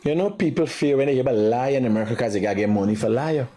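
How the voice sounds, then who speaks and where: A man's voice: mid-range voice, racing, mellow, a little hiss or hum. A man with a Guyanese accent hosting a live commentary show, speaking emphatically and repeating himself in an accusatory way. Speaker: live morning show host.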